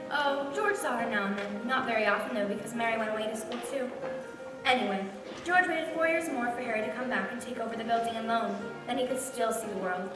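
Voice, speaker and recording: A woman's voice: on the high side, fairly quick, close to an everyday voice. A woman narrating a small-town tale in a staged radio play. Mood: storytelling. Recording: echoey hall from far off, music behind.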